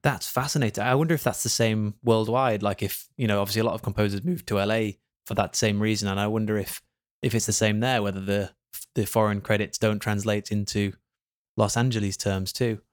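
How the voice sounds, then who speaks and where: A man's voice: fairly low pitch, brisk. Male podcast host asking questions. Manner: smooth scripted intro, then relaxed friendly questions. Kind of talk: podcast intro and interview questions.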